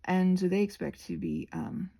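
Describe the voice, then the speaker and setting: A woman with a soft-edged, mellow voice that has a husky grain and some creak. She talks in a loose, casual ramble, wandering off on tangents and circling back to rephrase herself. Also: fairly low pitch, normal speed. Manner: digressive, self-correcting, trails off and restarts thoughts. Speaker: host of a rambling craft vlog.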